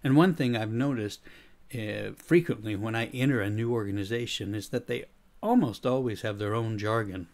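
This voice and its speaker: A middle-aged American man from the United States with a slight Swedish tinge to his accent, giving a measured, reflective talk as a leadership coach. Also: on the low side. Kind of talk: scripted talk on change.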